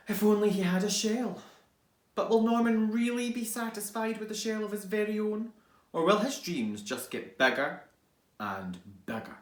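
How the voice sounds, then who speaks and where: A man reading a children's storybook aloud as a teacher, expressively, with dramatic pauses and hushed asides. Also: on the high side. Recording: roomy, echoing indoor sound.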